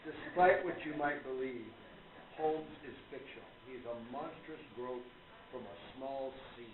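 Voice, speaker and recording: Man playing a dignified, fatherly older gentleman, roomy sound. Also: mid-range voice, measured pace, phone-quality sound, natural voice. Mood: indignant. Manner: formal, scolding, emphatic. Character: dignified, fatherly older writer, wounded and reproachful. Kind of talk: radio play acting. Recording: roomy amateur recording with hiss.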